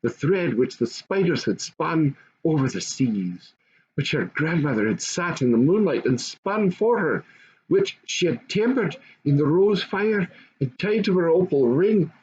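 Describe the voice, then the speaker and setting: Animated older man reading a children's story. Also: a little high, unhurried. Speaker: amateur storybook reader. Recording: dull lo-fi home recording, faint noise.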